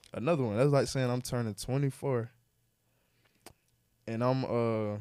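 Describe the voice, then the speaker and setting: A man's voice: medium pitch, steady tone, darkish tone, clean tone, no echo, clean studio sound. A man in his twenties co-hosting a podcast, talking casually and reflectively, rambling with lots of fillers and restarts. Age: 20s. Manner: rambling, halting, many fillers and restarts, says bro. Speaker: podcast co-host.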